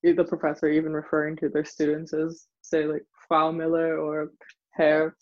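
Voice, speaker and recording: A man answering interview questions in a casual, chatty way, chuckling often and hedging with soft qualifiers. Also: a little high, completely flat delivery, moderate pace. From United States. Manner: chuckles often, hedges with "maybe" and "kind of". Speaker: interview guest, former exchange student. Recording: dull lo-fi recording.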